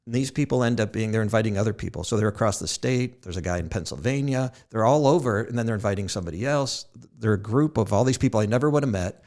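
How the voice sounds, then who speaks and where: A man's voice: lowish voice, fast, hardly any pauses, darkish tone. A man hosting a podcast, telling self-deprecating stories and laughing at his own jokes. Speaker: podcast host. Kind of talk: casual podcast conversation.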